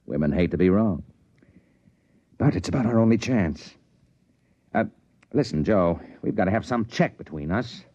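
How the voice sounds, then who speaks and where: Wry, lively low-voiced American schemer. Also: male voice, normal speed, long pauses, no echo. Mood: scheming, wry. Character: shrewd, wry trading-post man, confidently scheming. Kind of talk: 1950s radio drama acting. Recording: dull vintage radio recording.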